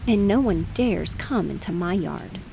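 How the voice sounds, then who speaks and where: A woman's voice: lowish voice, animated, unhurried, creaky, warm tone, faint music behind, rough recording. A woman voicing a vain, bragging red rooster in a storybook, with a pompous manner. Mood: boastful. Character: boastful, vain red rooster strutting around barnyard.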